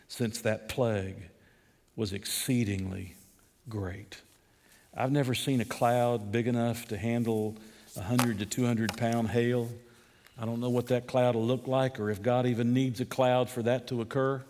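Southern preacher in a reverberant hall. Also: male voice. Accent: Southern US (Georgia). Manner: animated, rising to emphatic peaks, drops low and slow for scripture. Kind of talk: expository sermon.

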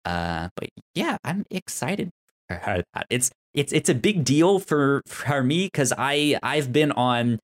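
A man co-hosting a podcast in casual chat, halting as he talks and often stammering and repeating syllables. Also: medium pitch. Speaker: podcast co-host.

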